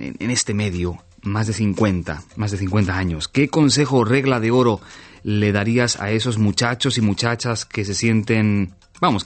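A man's voice: on the low side, no echo. Male radio host, dry close sound. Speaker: radio programme host, interviewer. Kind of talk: conversational radio interviewing. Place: radio studio.

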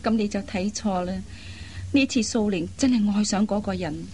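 An actress playing a loyal young adult daughter in a Cantonese radio drama, speaking earnestly and pleadingly in carefully articulated speech. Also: female voice, medium pitch, moderate pace, dark tone, slight background noise, lightly acted. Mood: earnest, consoling.

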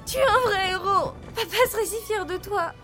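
A woman's voice: very high voice, fairly quick, sharp tone, soft background music. A woman playing a brave, affectionate young heroine and sister in an audio drama. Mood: tender, relieved. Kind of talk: audio drama acting and narration.

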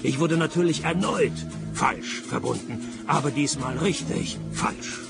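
Sardonic male private eye for radio drama: gravelly, creaky voice, laconic deadpan delivery, music behind. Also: on the high side, unhurried. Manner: deadpan, dry wit. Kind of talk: radio play first-person narration and dialogue.

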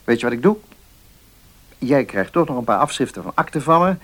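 A man's voice: mid-range voice, lively intonation, brisk, fairly bright tone. A middle-aged notary guy, radio drama, careful and reasoning, kind but won't budge. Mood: earnest, persuasive. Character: middle-aged male notary, measured, kindly but firm. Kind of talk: Dutch radio play acting.

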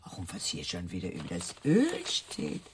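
An actress playing a whimsical, superstitious aunt of about sixty in a German radio drama. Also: female voice, a bass voice, wildly swooping pitch, normal speed, slight background noise, heavily acted. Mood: chatty, brisk. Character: eccentric sixty-year-old aunt, superstitious, whimsical and blithe.

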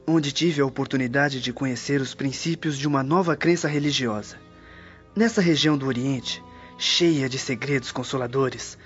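An actor playing a humble, devout young freed slave in a radio drama, speaking gently and earnestly. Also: male voice, mid-range voice, lightly acted. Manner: reverent, earnest. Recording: dull lo-fi radio drama audio. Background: music.

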